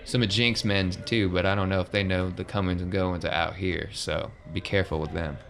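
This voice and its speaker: A man plays a gruff sci-fi hangar boss in a tabletop game, speaking in a low voice. He is blunt and protective, with clipped, folksy phrasing. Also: steady tone, brisk, fairly bright tone. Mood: protective, matter-of-fact. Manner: terse, blunt, drawling folksy grammar. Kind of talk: improvised tabletop roleplay.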